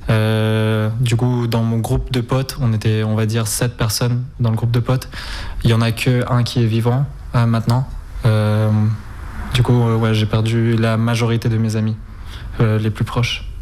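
A man, answering interview questions in a halting way with many hesitations. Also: medium pitch, flat delivery, darkish tone. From Spain, raised in Ukraine. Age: 20s. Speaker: guest.

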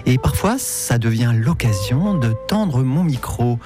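A man's voice: mid-range voice, animated, unhurried. A man narrating as a host, introducing interviews in a conversational way. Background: music under the voice.

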